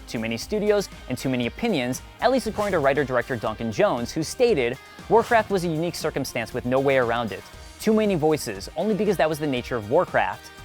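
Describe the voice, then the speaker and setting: A man hosting a video essay, reading scripted narration with wry, conversational asides. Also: fairly high pitch. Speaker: host of a video essay series.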